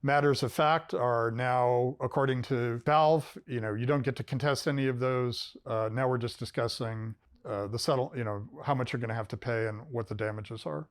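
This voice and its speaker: A man's voice: mid-range voice, fairly even intonation, moderate pace, hardly any pauses, no room sound, clean studio sound. A man explaining events in a documentary interview, with occasional fillers.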